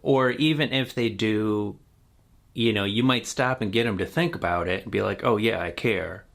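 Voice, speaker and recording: Guy interviewing someone, kinda low voice, casual and plain spoken. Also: normal speed, creaky, fairly bright tone. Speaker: interviewer, host.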